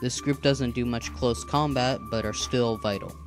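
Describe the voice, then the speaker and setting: A man's voice: medium pitch, close to an everyday voice. Man voicing a patient assassin mentor over music. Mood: instructive. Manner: explanatory, measured, instructive. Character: male assassin animal mentor, patient and earnest. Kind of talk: scripted animated drama dialogue. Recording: background music under the voice.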